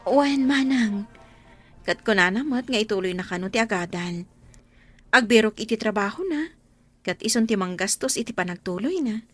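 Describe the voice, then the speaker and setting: A woman's voice: mid-range voice, animated, normal speed, pauses often, a bit bright, no room sound, faint music behind. A woman playing a long-suffering abandoned wife and mother in a radio drama, earnest and sorrowful. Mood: resigned. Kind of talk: Ilocano radio drama acting.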